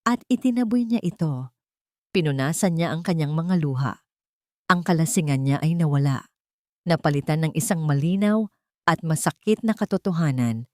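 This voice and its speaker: A woman narrating a scripted audiobook drama in a measured, emotional way. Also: low-pitched, animated, dry recording, clean studio sound.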